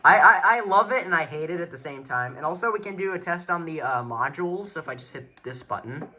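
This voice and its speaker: A man's voice: fairly high pitch, animated, fast talker, sharp tone. A man giving a casual show-and-tell tour of a radio collection as an enthusiastic hobbyist.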